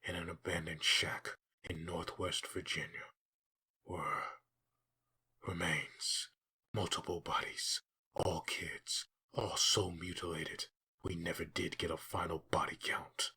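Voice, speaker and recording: A man voicing a grim, haunted undead assassin in a brooding comic dub monologue, with a raspy, gravelly growl. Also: low-pitched, flat delivery, slow pace, bright, forceful tone, full character voice. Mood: brooding, bitter.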